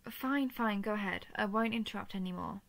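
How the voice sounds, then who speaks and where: A woman's voice: mid-range voice, creaky, a bit bright, rough recording. A woman voicing an affectionate, playful and easily flustered female dragon in an intimate audio roleplay. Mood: gentle, coaxing. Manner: tender, teasing, halting when flustered.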